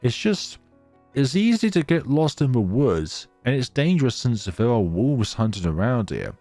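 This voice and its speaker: A man voicing a lonely, gentle snow spirit girl in a visual novel, with a wistful, lilting manner. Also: mid-range voice, very animated, normal speed, dry recording, faint music behind, voice pushed up.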